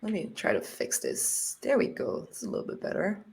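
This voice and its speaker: A woman's voice: medium pitch, huge pitch swings, normal speed, gravelly, a little mellow, slight background noise. A Brazilian woman with a Brazilian accent, a native Portuguese speaker, hosting a casual live stream, laughing often and swearing lightly. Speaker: stream host.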